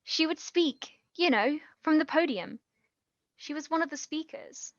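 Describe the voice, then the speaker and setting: An actress playing an earnest, guilt-ridden young woman, halting and pleading, in a naturalistic stage drama. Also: sits high, no echo, dull audio. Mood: earnest, defensive.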